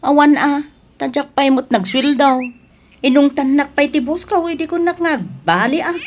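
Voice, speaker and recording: A woman playing a hardworking, exasperated girlfriend in a Filipino audio drama, with expressive delivery. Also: high voice, normal speed, a little hiss or hum, close to an everyday voice.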